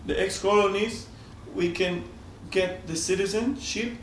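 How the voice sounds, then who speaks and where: A Peruvian-accented man whose first language is Spanish, chatting in halting English with frequent restarts and laughs. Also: high-pitched, far from the mic, a little hiss or hum. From Peru, Amazon region. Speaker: flatmate renting out a room. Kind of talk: casual chat in broken English. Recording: echoey room, voice off-mic, faint ambience.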